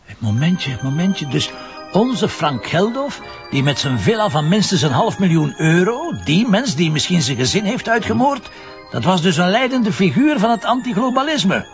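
A man's voice: sits high, very animated, normal speed, muffled recording, faint music behind. A man with a Flemish accent playing a jovial, cheeky, food-loving police commissioner in a radio crime drama, bantering colloquially. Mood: wry, playful. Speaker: actor.

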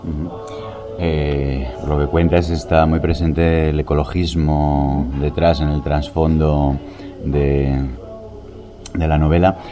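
Very deep-voiced male interviewer. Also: little pitch movement, takes it slowly, dull audio, music in the background. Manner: hesitant, repeats words while asking. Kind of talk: radio interview questions.

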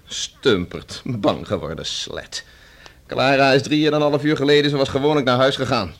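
Contemptuous bossy husband in a dutch radio play, mid pitch, really swoopy delivery. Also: male voice, expressive, normal speed, gravelly. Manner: authoritative, biting. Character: stern, contemptuous husband demanding a divorce. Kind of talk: Dutch radio drama acting.

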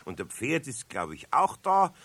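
An actor playing a kindly, good-humoured older male master carpenter with a Bavarian accent in a 1970s radio play, chuckling and gently teasing. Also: mid-range voice, huge pitch swings, moderate pace, exaggerated voice. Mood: enthusing.

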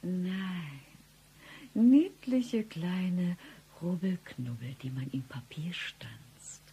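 An actress playing an eccentric, deadpan femme fatale in a satirical radio play, with a husky, breathy delivery. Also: female voice, lowish voice, very slow, exaggerated voice. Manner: deadpan, dropping into breathy whispers. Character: blind woman job-seeker, eccentric deadpan femme fatale.

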